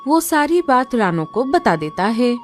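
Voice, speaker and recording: A woman narrating a children's cartoon story in a slow, expressive storytelling manner. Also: fairly high pitch, soft background music.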